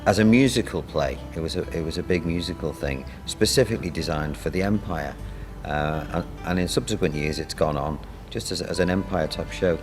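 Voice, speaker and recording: Very deep-voiced man reminiscing. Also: in a large hall, over music. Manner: halting, conversational. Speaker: playwright and producer. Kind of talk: interview reminiscence.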